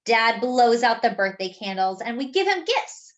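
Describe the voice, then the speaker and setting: A woman reading a children's picture book aloud, expressively and with animated delivery for young listeners. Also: fairly high pitch, dull audio. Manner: animated, pausing to explain words.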